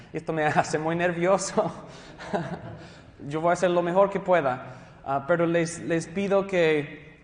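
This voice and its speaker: A man from Southern California with an American English accent, a pastor teaching a theology class in his second language, friendly and self-deprecating, pausing and restarting often. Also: fairly high pitch. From Southern California, USA. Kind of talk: classroom lecture. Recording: echoey hall, long reverb.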